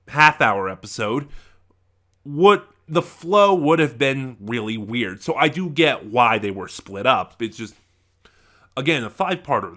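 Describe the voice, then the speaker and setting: Male reviewer, bright forceful tone, lively swinging pitch, informal and rambling, often restarts with emphatic stresses. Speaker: audio drama reviewer. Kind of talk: casual rambling review monologue.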